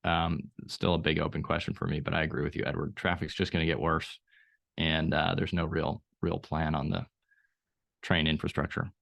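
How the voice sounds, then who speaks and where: A man's voice: extremely low pitch, level tone, normal speed, gravelly, fairly bright tone. A man from Austria, a startup CEO guest, talking thoughtfully in a casual podcast conversation, with frequent restarts. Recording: slightly dull lo-fi audio.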